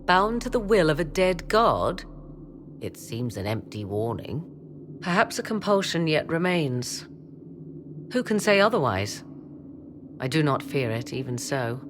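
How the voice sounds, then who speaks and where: A woman voice actor playing a proud, persuasive adviser in a fantasy video game, speaking in a formal, measured, imperious manner. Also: deep voice, very animated, noisy background. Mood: measured, skeptical. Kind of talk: video game dramatic voice acting.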